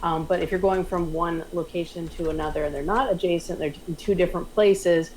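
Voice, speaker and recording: Lower-voiced woman chatting in a panel. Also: steady tone, fairly quick, darkish tone, slight background noise, low-quality recording. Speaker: panel member reading chat questions. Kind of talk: casual group discussion, explanatory.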